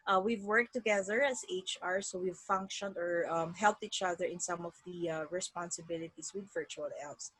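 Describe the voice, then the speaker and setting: A Filipino woman giving conversational, earnest interview answers in an animated way over a video call. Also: mid-range voice, husky, no echo, poor audio. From Philippines. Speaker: interview guest, virtual assistant.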